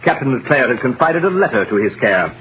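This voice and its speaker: An actor playing a sly, envious ship's officer who insinuates politely, in 1930s radio drama style. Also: male voice, medium pitch, big pitch swings, quick pace, natural voice. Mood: insinuating. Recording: dull vintage radio, faint noise.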